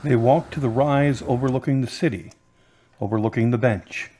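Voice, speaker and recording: A man reading the narration and credits of a radio drama in a plain, even way. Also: medium pitch, moderate pace, a little hiss or hum. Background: guitar music.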